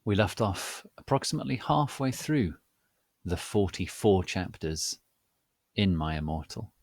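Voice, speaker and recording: Deep-voiced, slow, theatrical male narrator. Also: big pitch swings, slightly rough, dry recording, studio quality. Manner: mock-solemn, deliberate, with dramatic pauses. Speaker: host and reader. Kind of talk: theatrical, scripted comic dramatic reading.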